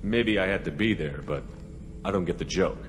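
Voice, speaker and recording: A man's voice: sits low, moderate pace, soft background music, natural voice. A man playing a composed, probing military commander in video game dialogue. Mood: calm, questioning. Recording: clear ambient noise behind.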